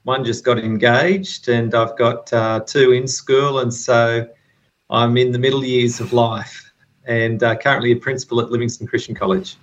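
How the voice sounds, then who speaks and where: A man's voice: mid-range voice, moderate pace. Aussie guy in his 50s telling his story, lots of ums, audio a bit muffled. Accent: Australian. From Sydney, Australia. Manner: relaxed storytelling, many ums and uhs. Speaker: interview guest. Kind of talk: interview.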